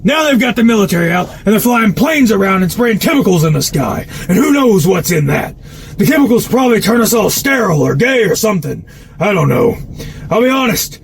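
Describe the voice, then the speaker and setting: An actor playing an angry, blunt conspiracy commentator delivering an emphatic political rant in an audio drama. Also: male voice, high voice, very animated, fairly quick, creaky, slight background noise, exaggerated voice. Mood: furious. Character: furious, ranting male conspiracy commentator.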